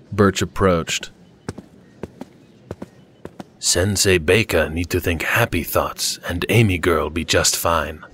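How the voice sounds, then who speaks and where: Deep, slow-paced male fiction narrator. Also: slight background noise. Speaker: audiobook narrator.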